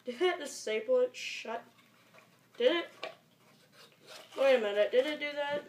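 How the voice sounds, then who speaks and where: High-pitched rambling young teen vlogger. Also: expressive, a little slow. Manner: rambling, muttering to self, swearing. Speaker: amateur video maker. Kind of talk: casual improvised tutorial vlog. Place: small bedroom, indoors. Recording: echoey, noisy amateur home recording.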